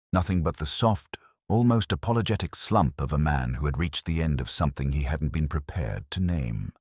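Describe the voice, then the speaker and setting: A man reading a scripted first-person mystery story in a measured, literary and brooding manner. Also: low-pitched, no echo, clean studio sound. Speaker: narrator.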